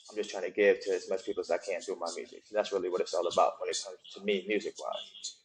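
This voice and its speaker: A man's voice: sits low, level tone, quick pace, crowd noise, poor audio. A young man from Guyana, a guest musician, talking casually in an interview and often saying "you know what I'm saying".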